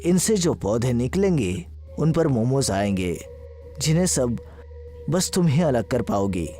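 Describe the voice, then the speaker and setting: A man's voice: medium pitch, very animated, measured pace, mellow, faint music behind. A man voicing a solemn, benevolent sea god in a cartoon moral story, speaking in stately pronouncements. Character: sea god in human speech, solemn, benevolent male deity.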